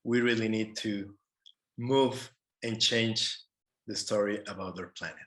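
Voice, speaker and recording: Slow-speaking man on a panel. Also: on the low side, fairly even intonation, a bit bright. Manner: earnest, halting with repeated words. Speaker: panelist, conservation worker. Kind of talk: prepared but informal panel talk. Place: online video call.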